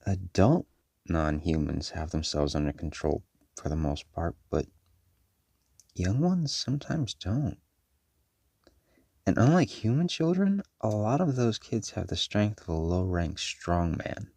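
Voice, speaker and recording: Man playing a deep, gravelly, protective werewolf dad. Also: lively intonation, dry recording, heavily acted. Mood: serious, protective. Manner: measured, parental, gently firm. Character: firm, protective werewolf father, caring but stern. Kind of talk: audio roleplay acting addressed to a child.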